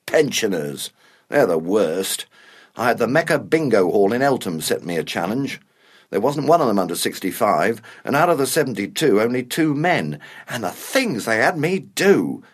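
An older, washed-up actor in a dark comedy drama, fussy and easily wounded. His phrasing is formal and pedantic, and his pitch moves in lively swings around an ordinary male register. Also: normal speed, breathy edge. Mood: rueful. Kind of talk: naturalistic dark comedy dialogue.

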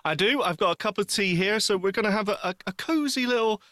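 A man's voice: sits high, fast talker, fairly bright tone, dry recording, clean studio sound. A man co-hosting a podcast, chatting casually with a teasing, playfully blunt manner. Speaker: podcast co-host. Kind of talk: casual banter chat.

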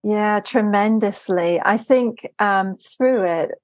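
A woman's voice: medium pitch, takes it slowly, dry recording. A middle-aged woman raised in Germany, a podcast guest who talks casually about her faith and laughs easily. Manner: warm, laughs often, speaks with faith. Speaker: podcast guest, life coach. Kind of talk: casual interview chat.

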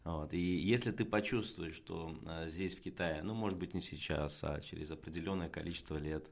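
Male interviewer, very low pitch. Conversational questioning, halting delivery with frequent pauses. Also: gravelly.

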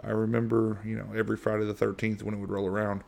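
Low gravelly monotone American man. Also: fast. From United States. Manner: rambling, with frequent fillers and restarts. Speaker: podcast host. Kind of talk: casual solo podcast monologue.

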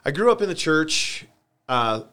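A man's voice: a little high, sing-song, unhurried, bright, forceful tone. A man in his forties with an American accent speaks as a guest in a church conversation. He sounds earnest and open, giving personal testimony and repeating himself to drive a point home. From Michigan, United States. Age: 40s. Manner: earnest, confessional, emphatic repetition.

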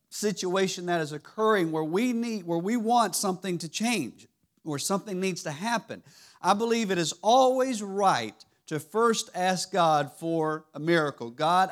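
A man with a raspy voice giving a church teaching sermon, halting and restarting often. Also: high voice. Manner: halting, many restarts and fillers. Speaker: lay preacher. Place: church sanctuary.

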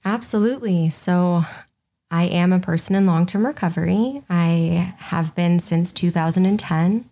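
Chatty young woman guest, low-toned. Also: measured pace, mellow. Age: 20s. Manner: open and chatty, many "um"s. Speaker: podcast guest. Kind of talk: casual interview chat.

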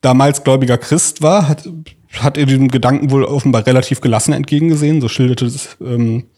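A man's voice: medium pitch, steady tone, quick pace, warm tone. A man telling a story as a podcast host in an informal, conversational way. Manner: informal, colloquial. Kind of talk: conversational storytelling, reading out quotes.

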